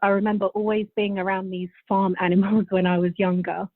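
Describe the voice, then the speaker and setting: A woman's voice: mid-range voice, level tone, fairly quick, no room sound. Young woman on a dull, dry connection. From Bulgaria, moved to England as a child. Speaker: podcast guest. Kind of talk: casual interview chat.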